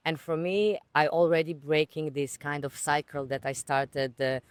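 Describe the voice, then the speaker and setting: A woman's voice: sits low, fairly even intonation, moderate pace, clear, resonant tone. A woman from Ukraine speaks as a guest on a podcast, reflective and earnest about her own life. She sometimes stops and restarts a phrase mid-thought. The recording is dry, with the mic close and no noticeable room echo. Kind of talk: personal storytelling in conversation.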